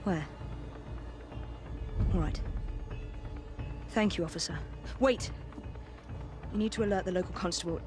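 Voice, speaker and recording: An actress playing a resolute, commanding assassin with a British accent in a video game cutscene. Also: female voice, fairly low pitch, unhurried, pauses often, natural voice. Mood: urgent. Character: determined middle-aged female assassin hunting a killer. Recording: music behind the voice.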